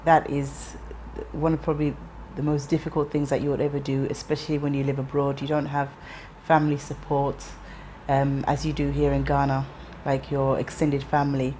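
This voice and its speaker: Low-voiced woman in her thirties, reflective. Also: monotone, mellow, a little hiss or hum. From England. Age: 30s. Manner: reflective, measured, with frequent ums and restarts. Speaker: interviewee. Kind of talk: personal life story interview.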